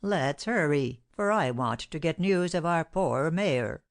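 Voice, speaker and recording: A woman's voice: sits low, expressive, no echo, studio quality, exaggerated voice. A woman reading aloud voices an anxious old male justice of the peace. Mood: worried. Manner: hurried, worried reassurance.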